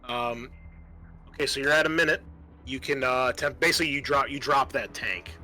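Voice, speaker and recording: Male game master, middle pitch, crisp bright edge, tabletop rulings and narration with music underneath. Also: a little fast, rough recording.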